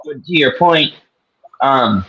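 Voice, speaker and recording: A man co-hosting a casual sports talk show, chatting in an animated, joking way. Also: mid-range voice, slow, highs cut off, a little hiss or hum. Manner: animated, slips into a mock New York accent. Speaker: co-host.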